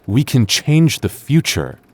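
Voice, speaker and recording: A man playing a devoted, earnest young boyfriend in an intimate audio roleplay, speaking haltingly with stammers. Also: medium pitch, sing-song, no room sound. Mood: pleading, agitated. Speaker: voice actor.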